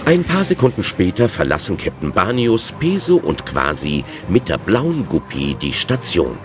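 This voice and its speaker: A deep-voiced male storyteller narrates a children's adventure audio drama. His delivery swings widely and expressively, with music playing clearly behind him. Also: full character voice.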